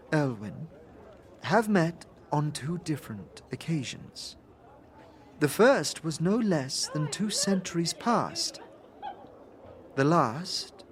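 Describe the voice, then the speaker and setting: A man acts out an ancient otherworldly woman from a fantasy drama, wistful and formal. The voice sits fairly high and moves very slowly, halting with guarded dignity, over audible background noise. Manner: stately, halting, formal, interjecting own name. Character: long-lived mysterious female being, formal, wistful, guarded. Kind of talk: fantasy game audio drama acting.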